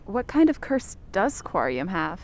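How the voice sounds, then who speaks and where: A woman's voice: very animated, unhurried. A woman playing a dry, sarcastic, sensible young heroine in an audio fiction comedy. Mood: puzzled. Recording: low rumbling background noise.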